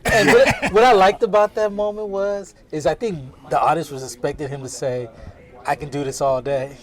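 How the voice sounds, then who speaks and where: Male panelist, slightly high voice, calm and reasoned, a few false starts. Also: moderate pace. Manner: polite, reasoned, stumbles on starts. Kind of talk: casual panel discussion.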